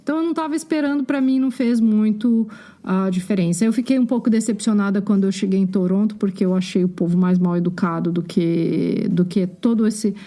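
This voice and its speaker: A Brazilian woman with a Brazilian accent, a podcast guest chatting casually and frankly, animated and quick to laugh. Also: medium pitch. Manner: animated, frank, swears when annoyed, laughs easily. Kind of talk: casual chat.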